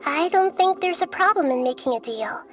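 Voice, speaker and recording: A young goddess of wisdom with a high, gentle voice. She explains calmly and thoughtfully, measuring every word. Soft music plays faintly underneath. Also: moderate pace. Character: young-looking female god of wisdom, calm and gentle. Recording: game audio with faint music.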